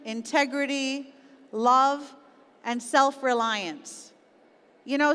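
A middle-aged Canadian woman gives a motivational keynote on stage. Her voice is high and very clear, with deliberate stress on key words. The sound rings with the long reverb of a large auditorium. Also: a bit bright. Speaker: conference keynote speaker, business executive.

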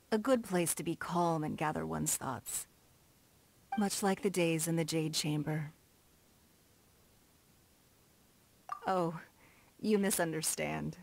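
An actress playing a stately, shrewd noblewoman in a video game, formal, measured and self-assured, with prominent S sounds. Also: lowish voice, slight background noise, close to an everyday voice. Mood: composed, gracious. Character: poised, stately noblewoman and shrewd business magnate. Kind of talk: video game character dialogue. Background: background music.

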